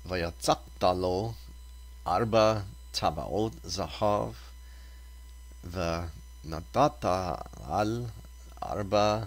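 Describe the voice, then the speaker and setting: A man reading Torah scripture aloud as a reader, deliberately, in short separated phrases. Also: deep voice.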